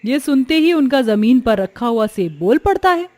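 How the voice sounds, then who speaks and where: A woman narrating a scripted animated moral story as its storyteller. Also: high-pitched, moderate pace, no echo.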